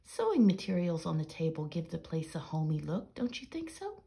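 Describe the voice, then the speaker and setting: A woman's voice: on the low side, wildly swooping pitch, moderate pace, creaky, dark tone, full character voice. A woman voicing a genteel, house-proud female cat in a children's storybook.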